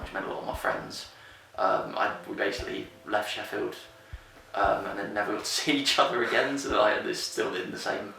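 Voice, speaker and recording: A man's voice: on the low side, vocal fry, sharp tone, a little hiss or hum. A man in his twenties giving casual, hedging interview answers. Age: 20s. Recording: very echoey room, distant mic.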